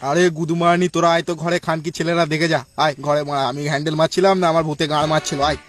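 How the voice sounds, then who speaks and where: Man voicing a fast-ranting irate cartoon schoolteacher. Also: fairly high pitch, level tone, natural voice. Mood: exasperated. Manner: ranting, crude, cursing. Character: irate foul-mouthed male schoolteacher scolding his pupils. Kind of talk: comic cartoon parody dubbing. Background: music.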